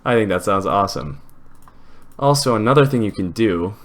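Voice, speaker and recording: A man hosting a casual software tutorial, explaining informally step by step. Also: fairly low pitch, lively intonation, moderate pace. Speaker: tutorial host.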